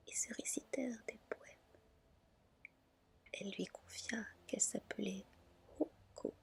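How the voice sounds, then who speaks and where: A woman softly and gently reading a fairy tale as an ASMR storyteller. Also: medium pitch, gravelly. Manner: soft, gentle, unhurried narration.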